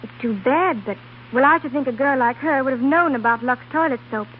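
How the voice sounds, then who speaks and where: A woman's voice: high voice, brisk, lightly acted. A woman playing a sensible, know-it-all Hollywood secretary in a 1930s radio commercial, lecturing and persuasive. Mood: earnest, coaxing. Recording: tinny 1930s radio, faint hiss.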